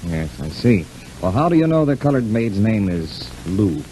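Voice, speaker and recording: A man's voice: lowish voice, very animated, moderate pace, mellow. An actor playing a stern, methodical male prosecutor in a 1940s radio serial. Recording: noisy old 1940s radio recording.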